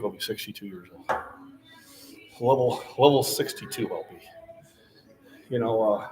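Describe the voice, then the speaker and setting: A man's voice: medium pitch, low-quality recording. Man in his sixties, fitness host, friendly coaching tone, casual chat, light music behind. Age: 60s. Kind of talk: casual livestream chat answering viewers.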